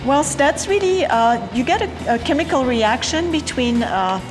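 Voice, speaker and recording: A woman from Belgium with a Belgian French accent, explaining how her cheese is made in a halting way, with many fillers and restarts. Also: high voice, animated, music in the background. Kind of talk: casual explanation during a tour.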